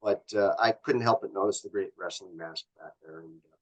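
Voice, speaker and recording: Male, middle-aged, North American accent, lowish voice. Executive interviewee, conversational and rambling, explains as he goes. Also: a little fast, highs cut off. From born in Canada, grew up in Los Angeles. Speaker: company executive guest. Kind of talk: casual interview chat.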